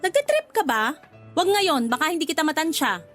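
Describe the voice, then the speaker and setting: A prickly young market girl snaps back in a high voice. She is jealous and secretly lovestruck, all defensive comic retorts in radio drama style. Soft music plays behind her. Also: big pitch swings, normal speed. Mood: snippy, haughty. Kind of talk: Tagalog radio drama acting.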